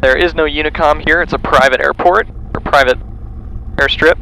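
A man's voice: a little high, steady tone, normal speed, creaky, sharp tone, poor audio. Young male pilot over engine noise. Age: young adult. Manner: clipped, procedural. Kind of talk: cockpit narration and brief radio calls. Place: cockpit of a small propeller plane in flight. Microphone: aviation headset boom mic. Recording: engine noise in small plane cockpit.

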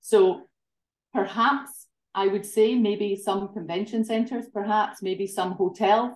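A woman's voice: mid-range voice, steady tone, slow. Scottish woman, forties, interview guest on a webcam, roomy home sound. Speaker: guest, tourism association director. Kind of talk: video call interview. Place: home living room. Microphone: laptop webcam video call. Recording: roomy home video call.

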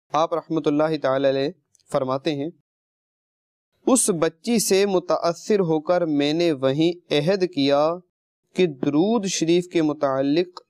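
A man's voice: fairly high pitch, darkish tone, dry recording, clean studio sound. A man telling religious stories and moral lessons to children in an instructive way, often addressing his listeners directly. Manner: didactic, addresses listeners with questions and pet phrases. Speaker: religious storyteller and host of a children's programme. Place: indoor studio set, seated on carpet among children.

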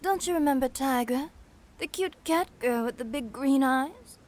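A woman's voice: high voice, expressive, normal speed, darkish tone. A woman playing a vain, callous gangster's wife showing off in slangy comic dub dialogue. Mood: smug, boastful.

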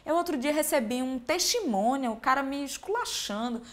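Young woman, Pernambuco accent, higher voice, lively interview guest. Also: normal speed. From Pernambuco, Brazil. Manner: animated, expressive, gestures while talking. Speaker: singer-songwriter guest. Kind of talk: casual interview chat. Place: sunny living room, seated on a sofa.

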